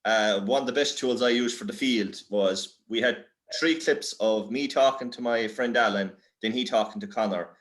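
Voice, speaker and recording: An Irish man giving an informal tutorial as a workshop presenter, halting and self-deprecating in how he explains things. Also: mid-range voice, a bit bright.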